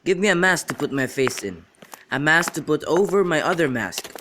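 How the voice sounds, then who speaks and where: A young man in an amateur radio drama, playing a witty, teasing young gentleman and declaiming in a bantering way. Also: fairly high pitch, lively intonation, normal speed, natural voice. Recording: clearly noisy amateur recording with clicks.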